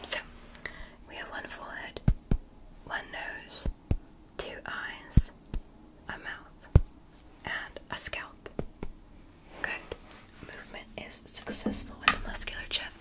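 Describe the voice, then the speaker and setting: A woman whispering as a gentle alien woman doctor in an ASMR roleplay, softly praising and reassuring her patient. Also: lightly acted, low-quality recording. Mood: soothing. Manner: soft, slow, praising and reassuring.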